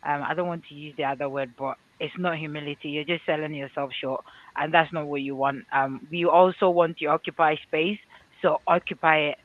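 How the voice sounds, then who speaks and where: A man from Ghana with a Ghanaian accent, hosting a livestream discussion in a reflective, measured way. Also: fairly high pitch, fairly even intonation. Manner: reflective, measured, uses fillers. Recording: tinny phone-like call line.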